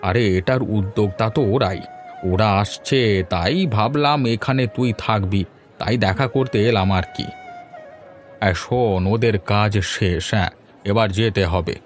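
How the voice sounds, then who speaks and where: A man plays an old village grandfather in a cartoon tale. His voice sits low, and he talks in a familiar, affectionate, teasing way. Faint music runs underneath. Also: a little slow, natural voice. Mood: tender. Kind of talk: Bengali cartoon voice acting.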